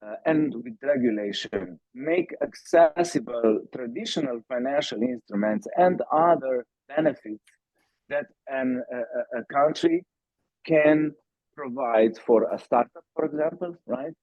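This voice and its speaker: Halting man on a tinny call line. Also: mid-range voice, big pitch swings, vocal fry, no room sound. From Slovenia. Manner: halting, many fillers and restarts. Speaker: startup founder, guest. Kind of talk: podcast interview answer. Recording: tinny phone-like call audio.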